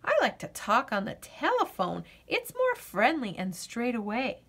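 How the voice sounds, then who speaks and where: A woman's voice: a little high, huge pitch swings, unhurried, fairly bright tone, full character voice. A woman voicing a stubborn, fussy little girl who objects emphatically in a children's storybook. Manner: emphatic, matter-of-fact objections. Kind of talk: children's storybook read-aloud.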